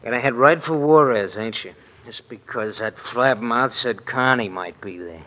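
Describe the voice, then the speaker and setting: A man's voice: medium pitch, moderate pace, sharp tone, natural voice. A man playing a sadistic, menacing underworld thug in a 1950s radio drama, taunting and threatening in slangy talk. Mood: sneering menace. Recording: old AM radio drama recording.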